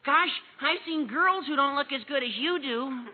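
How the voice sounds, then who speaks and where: An actor playing an earnest, tactless high school boy, gushing and self-important in 1940s radio comedy style. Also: moderate pace. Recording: tinny, hissy old radio recording.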